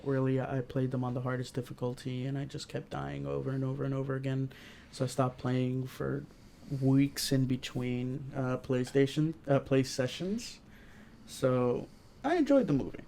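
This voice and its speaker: A man co-hosting a podcast, giving a casual, halting review as if thinking aloud. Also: medium pitch, steady tone, creaky, a little mellow, slight background noise. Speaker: podcast co-host, movie reviewer.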